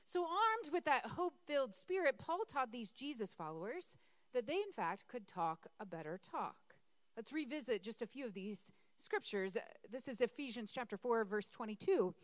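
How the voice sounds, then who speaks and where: A woman's voice: on the high side, very animated, normal speed, no echo. A woman pastor who is losing her voice, preaching a conversational sermon in a church and calling on the congregation to answer her. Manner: conversational, asks congregation for responses.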